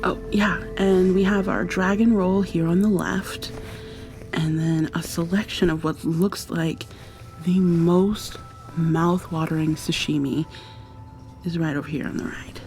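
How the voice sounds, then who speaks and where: A woman playing an affectionate, playfully dominant girlfriend in an intimate ASMR roleplay, murmuring softly and breathily to the listener, often sliding into whispers. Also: fairly even intonation, measured pace, faint music behind. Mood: affectionate, cozy. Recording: audible background hiss with low rumble.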